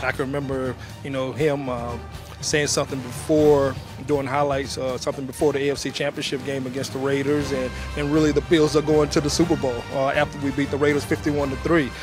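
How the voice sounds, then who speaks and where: A man, a former player, recalling the announcer in a halting interview with many fillers. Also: medium pitch, little pitch movement, over music. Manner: halting, filler-heavy recollection. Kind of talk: interview reminiscence.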